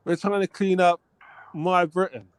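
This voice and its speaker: A man's voice: sits high, steady tone, a little slow, clear, resonant tone, no room sound. A man in a heated debate, combative and talking over others, repeating his points. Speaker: debate guest.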